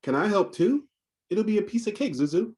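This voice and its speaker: A man's voice: high-pitched, sing-song, fairly quick, a little mellow, pitched above the performer's own voice. A man voicing a devoted, eager-to-help young dad in a picture book, playful and reassuring.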